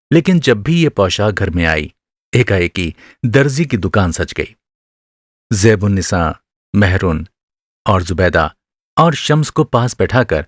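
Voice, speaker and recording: A man narrating a literary short story for a radio drama, with expressive, measured delivery and careful phrasing. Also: lowish voice, dry recording, studio quality.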